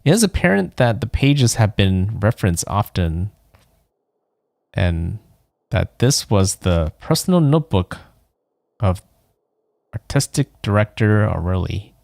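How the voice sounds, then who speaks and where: A man with a fairly low voice streams a game and reads its text aloud. He takes his time, measured and subdued, and the sound is dry and close. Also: sing-song, vocal fry, a little mellow, no echo. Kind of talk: let's play, reading game text aloud.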